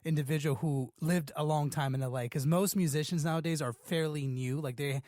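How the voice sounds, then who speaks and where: A man's voice: on the high side, fairly even intonation, a little fast, darkish tone, no room sound, studio quality. A man from Los Angeles hosting a podcast, talking casually with lots of fillers like "like" and "man". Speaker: podcast host. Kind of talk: casual interview chat.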